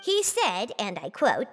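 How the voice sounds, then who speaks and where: A woman's voice: medium pitch, wildly swooping pitch, takes it slowly, big-room sound, faint music behind, full character voice. A woman playing a polite, formal aide who reports to a superior in a video game. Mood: dutiful. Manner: formal, dutiful.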